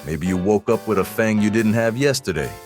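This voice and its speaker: A man plays a reassuring advert announcer with a sinister edge. His pitch is fairly low and his delivery is that of a polished salesman in a parody radio spot. Soft music sits behind him. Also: expressive, a little fast, lightly acted. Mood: reassuring, sly. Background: faint music.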